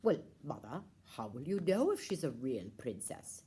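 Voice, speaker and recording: A woman voicing a meek young prince puppet in a children's show, deadpan and placating, given to muttered asides. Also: very deep voice, normal speed, husky, pitched below the performer's own voice, exaggerated voice. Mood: resigned.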